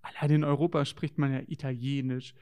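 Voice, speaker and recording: A man's voice: medium pitch, little pitch movement, brisk, mellow, smooth. A man co-hosting a children's show, talking in a playful, wondering way to children in a scripted dialogue.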